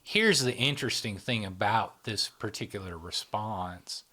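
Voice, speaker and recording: A middle-aged man explaining psychology in a relaxed interview, often checking in with "right?". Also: on the low side, measured pace. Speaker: psychology channel founder, explaining. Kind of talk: explanatory conversation.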